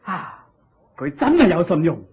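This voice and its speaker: An actor playing a humble, eager king in a Cantonese radio drama, with a husky voice, a Hong Kong accent and deferential, expressive exclamations. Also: on the high side, a little slow, exaggerated voice. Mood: excited. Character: humble, eager king seeking Buddhist teaching. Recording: dull lo-fi radio drama recording.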